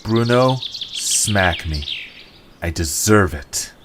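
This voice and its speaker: A man's voice: low-pitched, animated, very slow, noisy background, exaggerated voice. A man playing a sarcastic, weary young male adventurer in an audio drama, with a dry manner. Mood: wry.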